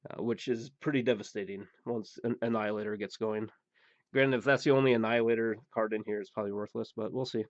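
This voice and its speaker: Hoarse man rambling through card openings. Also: lowish voice, fairly even intonation, fast talker, no echo. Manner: rambling, many "uh" fillers, blunt and crude. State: losing his voice. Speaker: pack-opening show host. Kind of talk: casual card-opening commentary. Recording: dull, dry recording.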